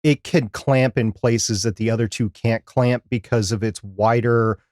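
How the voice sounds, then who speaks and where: A man's voice: medium pitch, steady tone, a little slow, a little mellow, dry recording. Man in dry, casual tech chat. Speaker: podcast co-host.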